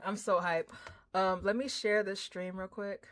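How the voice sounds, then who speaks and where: A woman livestreamer chatting casually with her viewers in an excitable, slangy, informal way. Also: mid-range voice, unhurried, a little hiss or hum. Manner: excitable, hyped, effusive; slangy, informal, drops swearing in. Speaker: livestreamer hosting a game stream.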